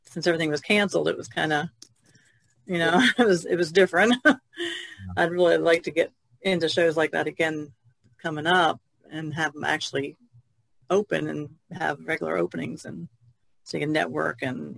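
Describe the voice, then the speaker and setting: A woman's voice: low-pitched, fairly even intonation, moderate pace, creaky, dry recording, rough recording. A woman artist chatting informally on a podcast, tentative in how she puts things. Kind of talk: casual podcast chat.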